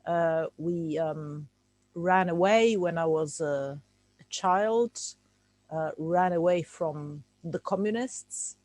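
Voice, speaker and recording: Czech-born woman giving a talk on a video call, slow and halting, dry sound. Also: on the low side, a little mellow, no room sound. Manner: hesitant, many fillers and restarts. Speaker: guest speaker. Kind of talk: informal lecture over video call.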